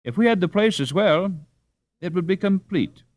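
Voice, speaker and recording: A man's voice: high voice, lively intonation, normal speed, darkish tone, no echo, voice pushed up. A man voicing an earnest, modest Dutch working boy in a storybook, polite and deferential. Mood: encouraging.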